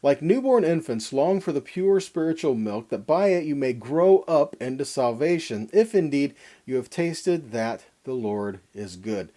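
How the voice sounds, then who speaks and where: A man with a mellow, relaxed tone delivers a teaching sermon. His pitch moves expressively as he stresses key words, reads scripture and puts pointed questions to the listener. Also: a little slow, few pauses. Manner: emphatic, dramatic stresses, rhetorical questions to listeners. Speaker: Bible teacher giving a weekly Torah portion lesson.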